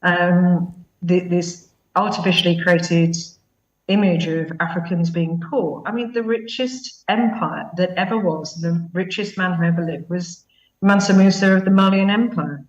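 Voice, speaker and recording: A woman speaking as a guest commentator on a news panel, measured and thoughtful with many fillers. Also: on the low side. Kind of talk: panel interview answer. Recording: dull, echoey sound from a distant mic.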